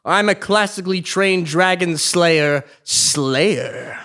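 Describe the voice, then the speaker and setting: A man's voice: sits high, slow pace, sharp tone, full character voice. A man acting a pompous, sly king in a comic stage play, with a haughty theatrical delivery. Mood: haughty, boastful.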